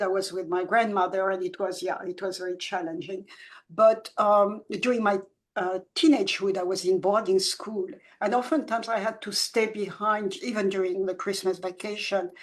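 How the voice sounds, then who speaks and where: A woman in her seventies who grew up in France, a children's book author talking in an interview in a soft, halting way with many restarts. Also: mid-range voice, steady tone. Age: 70s. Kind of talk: reflective conversational interview.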